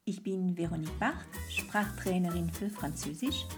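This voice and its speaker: A woman with a French accent, a native French speaker, hosting a solo podcast in a gentle, inviting way and speaking straight to the listener. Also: fairly low pitch, moderate pace, over music. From France. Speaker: podcast host and French language trainer.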